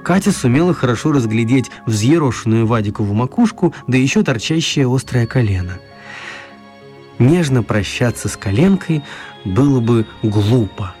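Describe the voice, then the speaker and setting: A wry storyteller a male actor narrates a children's radio play in a mid-pitched voice. He is expressive and mock-serious, pausing deliberately for effect, with faint music underneath. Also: natural voice.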